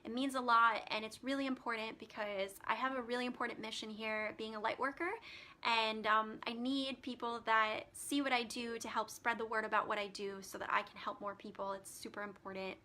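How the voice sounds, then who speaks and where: A woman's voice: on the high side, fairly even intonation, brisk, bright, forceful tone. A woman hosting a live stream as a spiritual healer, chatting casually with many ums and reading out card meanings, her voice turning scratchy. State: voice getting scratchy.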